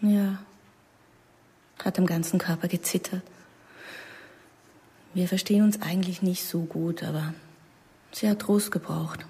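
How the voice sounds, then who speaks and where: A tender woman in a naturalistic radio drama. Her voice is extremely breathy and mellow, a little low, and she speaks softly and haltingly. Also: fairly even intonation, full character voice. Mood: subdued, hesitant. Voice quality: breathy, hushed. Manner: halting, soft-spoken. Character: tender, hesitant woman baring her feelings.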